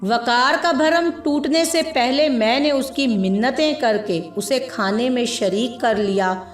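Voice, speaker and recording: A woman's voice: sits high, slow, echoey room, faint music behind. A woman narrating a scripted story in a dramatic storytelling style.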